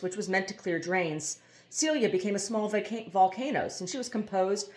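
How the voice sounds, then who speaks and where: Lower-pitched woman reading a novel aloud. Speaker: amateur audiobook reader.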